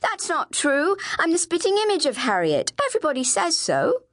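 A woman's voice: on the high side, very animated, normal speed, voice pushed up. A woman voicing a precocious, indignant eleven-year-old girl in an audiobook, with precise, haughty, wordy speech. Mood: defiant. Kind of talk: audiobook character dialogue.

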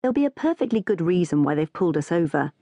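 A woman voicing a calm, patient man who reassures others, in an audiobook. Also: lowish voice, fast, no room sound.

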